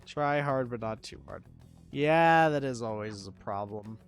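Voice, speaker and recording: A woman's voice: rumbling low, expressive, unhurried, clear, resonant tone. A woman game streamer giving casual, wry commentary and talking back to the game. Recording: faint game music behind voice.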